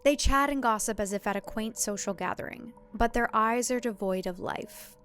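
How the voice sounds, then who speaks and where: A woman's voice: on the high side, moderate pace, a bit bright, faint music behind. A woman reading scripted thriller fiction as a narrator, in a dramatic storytelling style.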